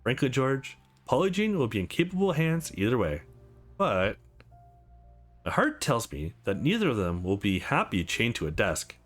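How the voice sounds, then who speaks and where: A man's voice: wildly swooping pitch, normal speed, faint music behind. Man voicing stern, blunt businesswoman. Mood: wry. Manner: blunt, low and reproachful. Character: stern, protective businesswoman with a wry, sharp temper.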